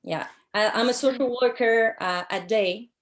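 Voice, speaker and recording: A middle-aged woman from Quebec City with a Québécois French accent, chatting as an interview guest with many pauses and restarts. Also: on the high side. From Quebec City, Canada. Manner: hesitant, many ums and restarts. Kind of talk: casual interview chat.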